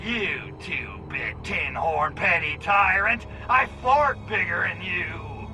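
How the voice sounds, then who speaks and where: An actor playing a crude, cocky alien invader in a video game, brash and full of sarcastic wisecracks. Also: male voice, sits high, expressive, takes it slowly, sharp tone, soft background music, heavily acted. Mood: angry boasting. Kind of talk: video game cutscene acting.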